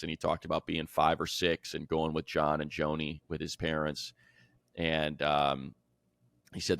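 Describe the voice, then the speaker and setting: Man, low-pitched voice, creaky edge, dry close sound, casual sports host, enthusiastic storyteller. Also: a bit bright, no echo. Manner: conversational, enthusiastic, colloquial. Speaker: sports show host and football play-by-play announcer.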